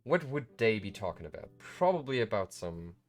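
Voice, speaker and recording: A man's voice: lowish voice, big pitch swings, moderate pace. A tired man hosting a casual live tutorial, thinking aloud in a rambling, self-deprecating way. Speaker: livestream host.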